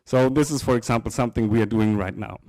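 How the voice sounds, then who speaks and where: Middle-aged man presenting, halting and informal. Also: mid-range voice, darkish tone, no room sound. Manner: informal, halting, with repeated words. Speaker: software presenter. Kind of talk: live technical presentation. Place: indoor presentation room with small audience. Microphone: handheld microphone.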